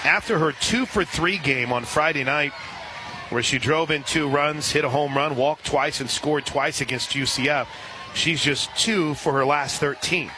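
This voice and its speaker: A man doing live radio play-by-play of a softball game, excitable on big plays. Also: a little high, measured pace, noisy background.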